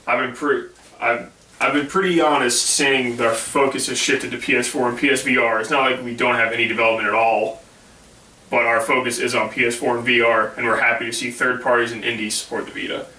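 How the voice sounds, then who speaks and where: A hoarse man with a bright tone delivers blunt, dismissive takes on a casual gaming podcast. He is a little off-mic in an echoey room. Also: medium pitch, steady tone, a little fast, far from the mic. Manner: blunt and dismissive, reads news aloud. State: hoarse, losing his voice. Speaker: podcast co-host.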